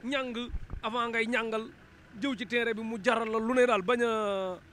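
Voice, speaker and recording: A man's voice: very high-pitched, steady tone, moderate pace, fairly bright tone. A young Senegalese man asking questions conversationally as a street interviewer. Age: young adult. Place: busy city street, outdoors. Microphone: handheld microphone shared with guest. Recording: outdoor street noise. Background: traffic and street ambience.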